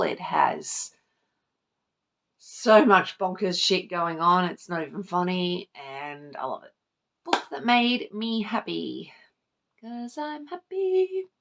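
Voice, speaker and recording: A woman's voice: on the high side, creaky. A woman talking casually to camera as a vlogger, informal and sweary, gushing over things she loves. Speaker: book vlogger, channel host. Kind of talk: casual chat to camera, book tag video.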